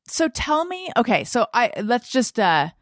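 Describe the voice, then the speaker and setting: A woman's voice: a little high, big pitch swings, unhurried, fairly bright tone, dry recording, clean studio sound. A woman hosting a casual interview, informal and thinking aloud as she rephrases her questions. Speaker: podcast host interviewing a guest. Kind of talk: casual chat.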